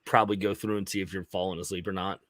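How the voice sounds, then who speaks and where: A man's voice: deep voice, flat delivery, rapid-fire, noisy background. A man in casual conversation who repeats his points for emphasis. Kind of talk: casual chat.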